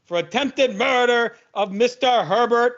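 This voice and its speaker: Officious arresting cop played by a man, high voice, deliberate and stern, comedy audio play. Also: fairly bright tone. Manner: stern, declarative. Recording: dull lo-fi home recording.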